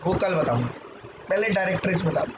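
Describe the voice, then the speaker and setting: A man from India, teaching maths in a live online class, hoarse from a sore throat and bantering with his students. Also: high-pitched, expressive, fast talker. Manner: banters with students, mixes Hindi and English. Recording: muffled, roomy with background noise.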